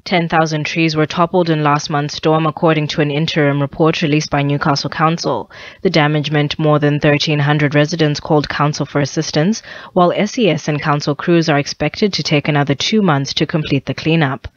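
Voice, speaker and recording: A woman's voice: deep voice, fairly even intonation, fairly quick, no echo, dull audio. A woman reading a scripted radio news bulletin, occasionally stumbling over phrases. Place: dry radio studio.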